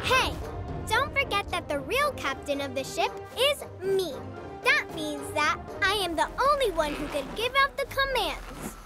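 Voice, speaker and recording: A woman's voice: very high voice, very animated, unhurried, music in the background, heavily acted. A woman voicing a proud, touchy young girl animal in a children's cartoon, bossy and huffy. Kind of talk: dubbed children's cartoon acting.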